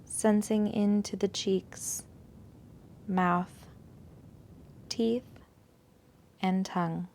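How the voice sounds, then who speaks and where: A woman teaching a guided yoga nidra meditation in a soothing, unhurried, gentle manner, leaving long pauses between phrases. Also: medium pitch, fairly even intonation, a little hiss or hum.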